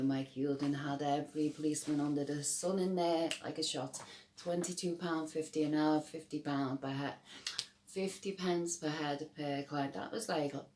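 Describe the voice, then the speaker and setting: A low-pitched woman in middle age with a strong Scouse accent. She talks to the camera in a rambling, emphatic way, often breaking off mid-sentence and starting again. Her voice carries the hollow echo of a bare room. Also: a little mellow. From Liverpool, England. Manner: rambling, emphatic, many restarts and fillers. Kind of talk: personal testimony to camera.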